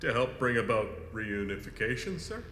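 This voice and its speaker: An actor playing a dutiful, loyal security chief in a sci-fi audio drama, formal, deferential and hesitant. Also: male voice, medium pitch, big pitch swings, normal speed, a bit bright. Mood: uncertain. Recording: echoey hall, faint background noise.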